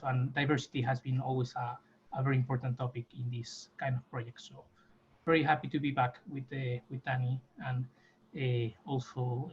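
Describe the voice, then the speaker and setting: Guy introducing himself, casual, muffled lo-fi mic with a bit of background noise. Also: mid-range voice. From Spain. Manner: casual, loose with fillers. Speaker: recruitment consultant. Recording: dull mic with faint noise.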